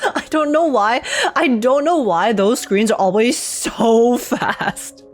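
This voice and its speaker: A young woman doing casual, giggly and expressive reaction commentary as she streams a game. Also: on the high side, takes it slowly. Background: game music.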